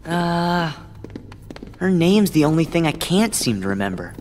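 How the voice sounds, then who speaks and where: A man's voice: fairly high pitch, sing-song, measured pace, a little hiss or hum. An actor voicing an earnest teenage boy hero in a game who speaks hesitantly, groping for words. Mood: confused.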